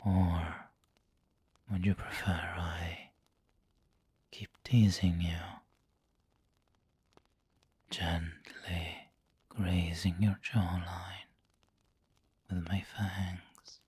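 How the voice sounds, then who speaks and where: A man playing a refined, doting vampire lord in an ASMR roleplay, whispering gently and intimately with seductive, protective care. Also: sits low, flat delivery, exaggerated voice. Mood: seductive, teasing. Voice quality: breathy, whispery. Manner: slow, gentle, intimate coaxing.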